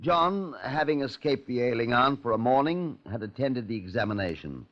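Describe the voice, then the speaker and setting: An actor playing a courteous, wry Scotland Yard inspector, narrating his case in a measured radio crime drama style. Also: medium pitch, animated, lightly acted. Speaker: detective narrator. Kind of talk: 1950s radio crime drama, first-person narration and dialogue. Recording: dull, muffled 1950s radio recording.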